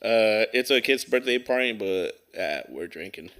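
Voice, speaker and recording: A man's voice: fairly low pitch, animated, normal speed, fairly bright tone. A man co-hosting a podcast, chatting casually with slang, swearing and a joking manner. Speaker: podcast co-host.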